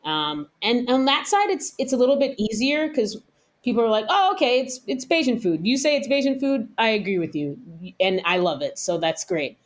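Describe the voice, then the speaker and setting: An American woman chef, around her thirties, talking candidly and thoughtfully in a relaxed interview, laughing now and then. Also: on the high side, normal speed. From United States. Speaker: chef, guest. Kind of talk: casual interview talk.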